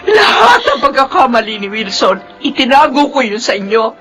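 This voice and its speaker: Filipino radio soap mom, devoted and long-suffering, highish voice, very expressive intonation, emotional. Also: female voice, normal speed, soft background music, heavily acted. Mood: hurt, furious. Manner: earnest, emotional. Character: long-suffering, devoted middle-aged widowed or wronged mother. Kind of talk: Filipino radio drama acting.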